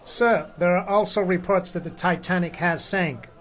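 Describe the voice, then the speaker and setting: A man's voice: high voice, moderate pace, natural voice. A man playing an earnest, confident newspaper editor in a radio play, insistent but deferring to his boss.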